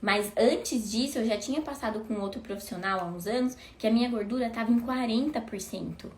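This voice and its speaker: A woman's voice: fairly high pitch, fairly quick, darkish tone. A woman in her thirties talking casually to viewers, asking herself questions and answering them. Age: 30s. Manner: conversational, asks rhetorical questions. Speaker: host. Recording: echoey room with faint noise.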